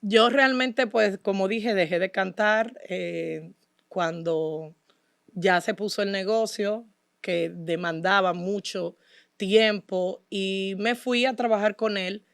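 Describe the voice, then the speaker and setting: A woman from the Dominican Republic shares a personal testimony in conversation, with a Dominican accent. Her voice sits at a middle pitch and sounds dry, with very little room around it. She tells her story earnestly and with devotion, as a guest being interviewed. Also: slow, vocal fry, no echo. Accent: Dominican Spanish.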